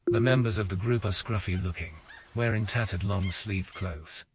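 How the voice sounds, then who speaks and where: A man giving scripted narration for a fantasy audio game in a measured storytelling manner. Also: on the low side, a little hiss or hum. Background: music.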